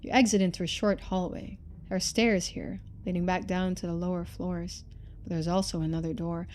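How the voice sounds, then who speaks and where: A woman's voice: on the low side, little pitch movement, normal speed, darkish tone, a little hiss or hum. A woman reading game narration expressively and adding casual commentary as a streamer.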